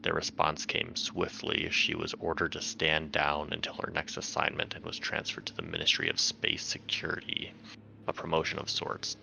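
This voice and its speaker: Male tabletop player, bottomless creaky voice with a forceful edge, reading game notes aloud. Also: very deep voice, completely flat delivery, moderate pace, rough recording. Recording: faint background noise.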